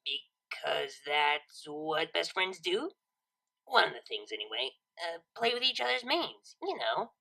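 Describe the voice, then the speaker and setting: A woman's voice: deep voice, big pitch swings, measured pace, creaky, bright, forceful tone, full character voice. Woman playing a brash, slangy young unicorn. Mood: awkward, bluffing. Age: young adult. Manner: casual, blunt, slangy.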